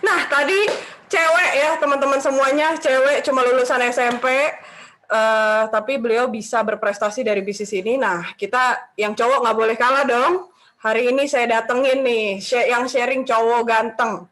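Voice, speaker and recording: High-pitched lady hosting an online meeting, chirpy and teasing. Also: fairly even intonation, moderate pace, fairly bright tone. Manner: upbeat, joking. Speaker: seminar moderator. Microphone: video call.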